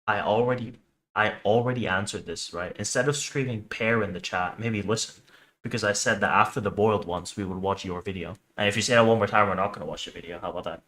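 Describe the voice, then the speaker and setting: A man's voice: lowish voice, quick pace, a bit bright. A man hosting a reaction livestream, chatting casually and bluntly with viewers, sometimes swearing and sometimes reading text aloud. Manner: sweary, blunt, teasing banter with chat. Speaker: livestream host reacting to horror videos. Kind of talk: casual stream chat mixed with reading on-screen text aloud.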